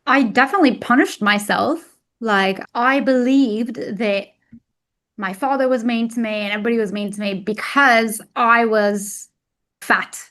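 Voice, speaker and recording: A woman's voice: on the high side, measured pace, a bit bright. A woman whose first language is Arabic, a weight loss coach who grew up in Egypt, speaking openly and reflectively about hard memories as a podcast guest. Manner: open and reflective, tells painful memories plainly. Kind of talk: candid personal storytelling.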